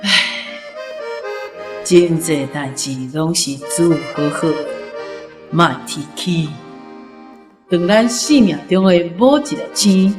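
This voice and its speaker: A woman's voice: lowish voice, slow pace, pauses often. An actress playing a resigned older woman reflecting on life in a radio drama, with a Taiwanese accent. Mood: resigned, wistful. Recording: echoey reverb with music over voice.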